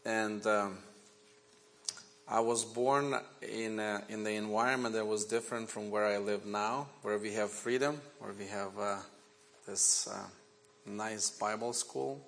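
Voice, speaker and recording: A man in his forties with a Ukrainian accent, a native Ukrainian speaker, preaching a sermon woven with his own testimony in an earnest, measured way. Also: fairly low pitch, steady tone, creaky, fairly bright tone. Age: 40s. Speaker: guest preacher.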